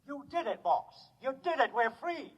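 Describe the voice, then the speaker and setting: An actor playing a cheerful, eager male robot servant in a 1950s radio drama, brisk and chipper. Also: very high voice, sing-song, breathy edge, heavily acted. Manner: brisk, chipper, keeps calling the other man boss. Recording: dull lo-fi 1950s radio transcription.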